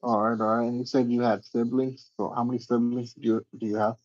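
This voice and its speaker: A man's voice: medium pitch, flat delivery, a little fast, a little mellow, dry recording, lo-fi sound. A man hosting a casual livestream interview, slangy and agreeable, asking questions in a laid-back way.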